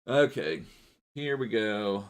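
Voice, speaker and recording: A man's voice: on the low side, animated, slow, clear, resonant tone. A man streaming a football video game live, chatting casually in a rambling way and thanking viewers as he plays.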